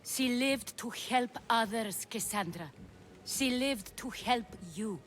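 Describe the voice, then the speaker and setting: A woman's voice: a little high, takes it slowly, faint music behind. An actress playing a composed, persuasive Athenian noblewoman, firm and defensive, in a dramatic video game cutscene.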